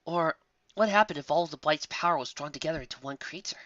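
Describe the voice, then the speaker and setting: An earnest young unicorn scholar from a storybook, voiced by a woman. She speaks higher and brightly, feeling her way through explanations. The recording is slightly muffled. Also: quick pace, dry recording. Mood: sheepish, explaining. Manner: hesitant, explanatory.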